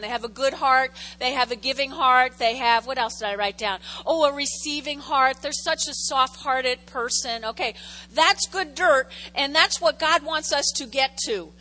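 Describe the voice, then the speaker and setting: A woman's voice: high voice, sharp tone. A woman pastor preaching a sermon in a conversational way, repeating key phrases for emphasis and making jokey asides to the congregation.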